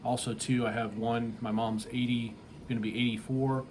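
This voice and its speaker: A man's voice: medium pitch, flat delivery, normal speed, darkish tone. Middle aged guy chatting through a product review, rambly and plainspoken, some noise behind him. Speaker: tree service business owner reviewing a product.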